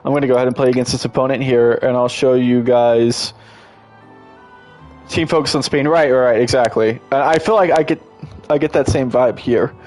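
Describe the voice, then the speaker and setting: A man's voice: mid-range voice, steady tone, moderate pace, a little mellow, soft background music. A congested man giving casual, enthusiastic gameplay commentary as a streamer, rambling and restarting his sentences often.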